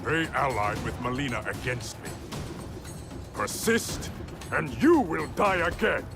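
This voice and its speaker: An actor playing a proud warrior emperor who speaks in a grave, commanding way. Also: male voice, mid-range voice, very animated, unhurried. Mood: threatening. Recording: game cutscene with music.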